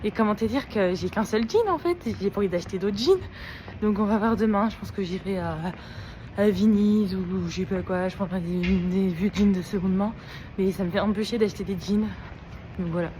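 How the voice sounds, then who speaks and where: A woman's voice: mid-range voice, fairly quick, mellow, slight background noise, poor audio. A young woman with a cold, chatting casually into her phone for a vlog, rambling and slangy with many fillers. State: sick with a blocked nose and sore throat. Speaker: vlogger. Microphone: phone held at arm's length.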